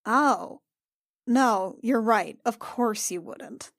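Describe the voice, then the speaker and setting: A woman plays a guarded young woman adventurer in a tabletop fantasy game. Her delivery is unhurried and halting, colloquial and wry, in a very dry recording. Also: fairly high pitch, vocal fry, no echo, heavily acted.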